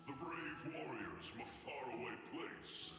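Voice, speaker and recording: A man's voice: medium pitch, expressive, a little slow, natural voice. A man playing a gossipy, cowardly lion informant in a video game, with a theatrical and grandiose manner. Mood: grand, welcoming. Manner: theatrical, grandiose, flustered when challenged. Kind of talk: video game character acting. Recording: echoey long reverb, game music behind.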